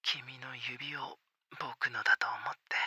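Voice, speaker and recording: A man voicing a tender, teasing young male lover in an intimate ASMR roleplay, speaking in soft, coaxing whispers. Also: medium pitch, fairly even intonation, slow pace, breathy edge, no echo, clean studio sound, full character voice. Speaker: voice actor in an adult audio drama.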